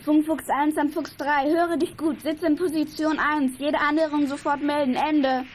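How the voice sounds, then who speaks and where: A woman playing an alert, excitable child detective giving clipped reports in a children's radio play. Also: sits high, normal speed, slight background noise, lightly acted. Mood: alert, urgent.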